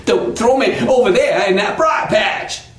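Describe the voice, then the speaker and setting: A man's voice: very high-pitched, lively intonation, normal speed, reverberant, voice pushed up. A man voicing a frantic, hot-tempered trickster rabbit in a folk tale, stammering and repeating his words. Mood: panicked pleading.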